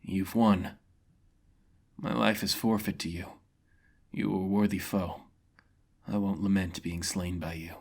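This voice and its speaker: A man's voice: low-pitched, steady tone, measured pace, creaky, pauses often, a little mellow, exaggerated voice. A man voicing an honorable, remorseful male mercenary who speaks in a grave, formal, subdued way in a fantasy story. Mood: resigned.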